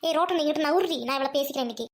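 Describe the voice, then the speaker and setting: A hot-tempered older village woman acted by a woman from a village drama. She speaks high and quickly, in a bossy, colloquial, questioning way. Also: natural voice. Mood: indignant. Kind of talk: village comedy-drama acting.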